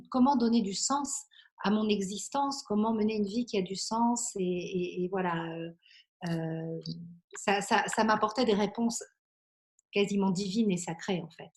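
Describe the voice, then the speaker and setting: A woman in her forties from Belgium, an interview guest speaking reflectively and earnestly, often halting as she searches for words. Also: medium pitch, darkish tone. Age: 40s.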